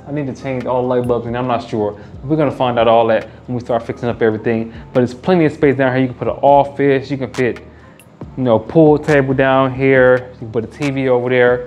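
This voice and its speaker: A man's voice: mid-range voice, steady tone, brisk, darkish tone, dull audio, soft background music. A man gives a casual, upbeat house tour in bare indoor rooms, repeating his catchphrases. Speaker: realtor and house flipper. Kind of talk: walkthrough house tour vlog.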